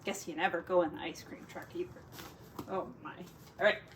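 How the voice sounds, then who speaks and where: A woman's voice: fairly low pitch, steady tone, brisk, slight background noise. A woman who teaches, reading a picture book aloud to children expressively, drawing out key words for drama and adding casual asides.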